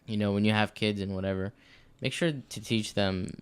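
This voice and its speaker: A young man with a lowish, smooth, clear voice, co-hosting a casual podcast. He jokes around with his friends and also slips into reflective musings. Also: normal speed. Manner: joking, then reflective. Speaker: podcast co-host.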